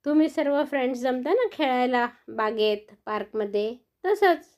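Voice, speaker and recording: A woman's voice: high voice, normal speed, a little mellow. A woman telling a bedtime story to children in a sing-song, coaxing manner, often asking them questions.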